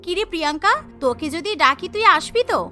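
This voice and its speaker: A woman's voice: extremely high pitch, expressive, a little fast, bright, forceful tone, heavily acted. A woman voicing a lazy, spoiled, cheeky young bride in a cartoon, brash and carefree. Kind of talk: Bengali cartoon voice acting. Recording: music behind the voice.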